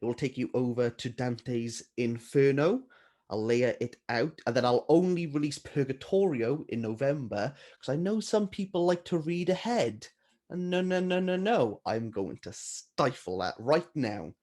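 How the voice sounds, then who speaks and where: A man's voice: mid-range voice, lively intonation, measured pace, a little mellow. A man co-hosting a casual, unscripted book vlog with a playful, dryly teasing manner. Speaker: book vlogger and readalong co-host.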